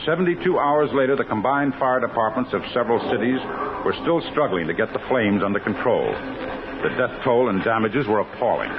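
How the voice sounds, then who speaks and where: A man's voice: mid-range voice, lively intonation, brisk, background noise, lightly acted. An American man playing a resolute district attorney who narrates a 1950s radio crime drama in a solemn, declamatory manner. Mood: grave. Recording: muffled vintage radio recording, loud music behind.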